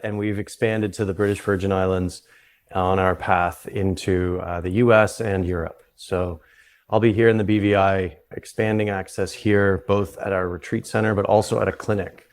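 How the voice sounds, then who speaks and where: A man with a Canadian accent, a guest on an interview podcast, explaining his work in a relaxed way and often restarting his sentences. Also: low voice, normal speed. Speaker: guest, psychedelic retreat founder. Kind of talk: conversational interview.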